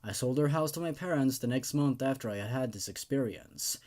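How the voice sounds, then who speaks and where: A man's voice: mid-range voice, brisk, a little mellow, close to an everyday voice. A man voicing a shaken first-person narrator in a dramatic creepypasta reading, grave and subdued. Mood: somber, subdued.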